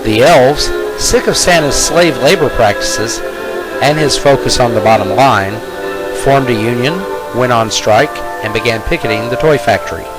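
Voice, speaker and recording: A man's voice: medium pitch, moderate pace, low-quality recording. A man narrating a scripted comic story in a mock-serious storytelling manner. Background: music.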